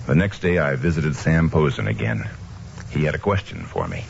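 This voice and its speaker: An actor playing a steady, principled investigator in an old-time radio mystery, speaking in a measured, firm manner. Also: male voice, a bass voice, vocal fry, heavily acted. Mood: matter-of-fact. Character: steady, principled male investigator narrating his own case. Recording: dull noisy old radio recording.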